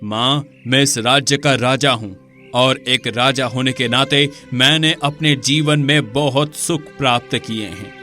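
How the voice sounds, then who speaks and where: Man acting a forceful, bright-voiced storybook king. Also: mid-range voice, fairly even intonation, unhurried, faint music behind. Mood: earnest, resolute. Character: proud male kings, one generous, one merciless. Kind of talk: dramatised Hindi moral story.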